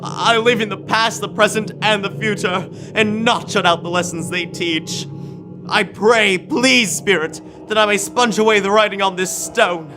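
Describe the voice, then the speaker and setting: A young man playing a frightened, repentant old miser in a radio drama, halting and theatrical as he pleads. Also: high voice, steady tone, music in the background. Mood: desperate begging.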